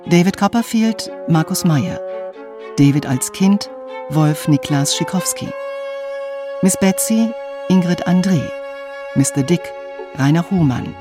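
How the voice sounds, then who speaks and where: Violin music plays under a formal radio announcer. The delivery is very slow and deliberate, reading scripted titles and cast credits at a medium pitch.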